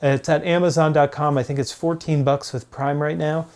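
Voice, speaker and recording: A man hosting a business advice video, speaking straight to the viewer in an emphatic, motivational way with deliberate repetition. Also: a little high, level tone, a little mellow. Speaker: host, sales author and coach.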